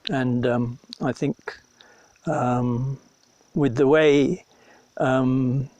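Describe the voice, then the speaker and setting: A man from Zimbabwe, a farm technical advisor, talking in a documentary interview, relaxed and pausing often with ums. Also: mid-range voice, no echo. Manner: relaxed, hesitant with many ums.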